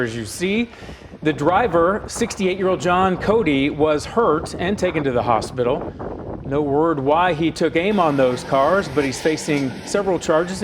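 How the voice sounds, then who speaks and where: Slightly higher-voiced male news anchor. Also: noisy background. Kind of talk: scripted TV newscast reading.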